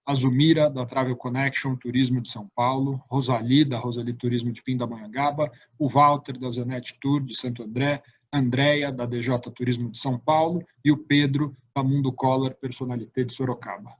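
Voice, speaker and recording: Husky Brazilian host, lo-fi sound. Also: male voice, mid-range voice, steady tone, fairly quick, hardly any pauses. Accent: Brazilian Portuguese. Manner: warm-up tags "né?" after statements. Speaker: talk show host. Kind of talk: hosting an interview. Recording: dull lo-fi call audio.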